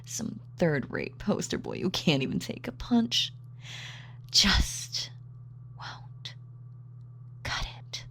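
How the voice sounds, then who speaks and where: A woman's voice: lowish voice, huge pitch swings, takes it slowly, gravelly, long pauses, fairly bright tone, in a whisper, heavily acted. A woman playing a sadistic, gloating female supervillain in a scripted roleplay audio, taunting and savoring her words theatrically. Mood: contemptuous, menacing. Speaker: voice actor.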